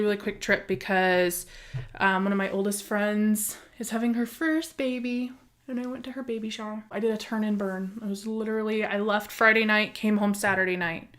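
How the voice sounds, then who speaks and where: A woman beauty vlogger, hoarse from a cold, chatting casually and rambling with many ums while she does her makeup at home. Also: mid-range voice, fairly even intonation, normal speed, fairly bright tone. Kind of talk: casual makeup tutorial chat.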